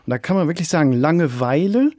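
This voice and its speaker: A man, a theologian and studio guest, answering in a relaxed, reflective storytelling way. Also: a little high, quick pace, no room sound. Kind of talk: conversational radio interview.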